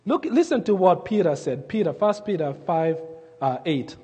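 A man from Kenya, preaching a sermon and telling a story with fillers and restarts, sometimes dropping his voice to a hush. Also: high-pitched, lively intonation, normal speed, breathy edge, in a large hall. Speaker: pastor.

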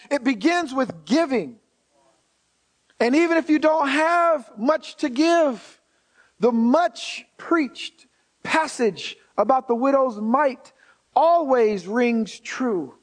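A man's voice: very high-pitched, lively intonation, slow. A man preaching a sermon to a congregation, emphatically repeating key phrases and slipping in conversational asides and rhetorical questions.

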